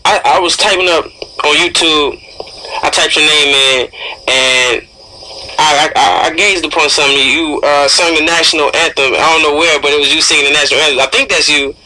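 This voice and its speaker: A man's voice: fairly high pitch, fairly even intonation, pushed and bright, a little hiss or hum, poor audio. A man co-hosting a casual radio interview, informal and admiring, repeating words as he goes. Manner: informal, admiring, halting repetitions. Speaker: radio show co-host.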